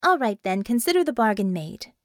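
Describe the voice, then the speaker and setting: A woman's voice: on the high side, big pitch swings, brisk, no echo. A woman voicing a female leader of heroes in an audiobook, authoritative and dryly humoured. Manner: dry, authoritative.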